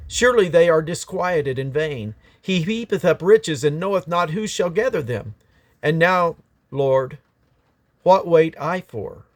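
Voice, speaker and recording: A man's voice: on the high side, no room sound. A man reading scripture aloud in a solemn, emphatic, declamatory way, stressing his phrases. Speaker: Bible reader.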